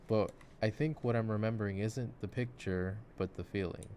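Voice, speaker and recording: Guy with a really deep voice doing chatty asmr while gaming, kinda reflective, some mouse and keyboard noise. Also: steady tone, normal speed, a little mellow. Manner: reflective, musing. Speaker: ASMR voice actor playing games. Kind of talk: intimate ASMR gameplay chat. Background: mouse clicks and keyboard typing.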